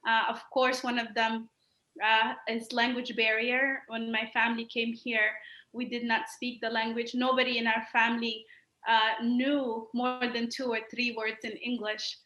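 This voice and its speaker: Bright, echoey woman from Sudan. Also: on the high side, flat delivery, unhurried. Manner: earnest, many uh fillers. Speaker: interview guest, human rights activist. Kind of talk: personal story in an interview. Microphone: video call.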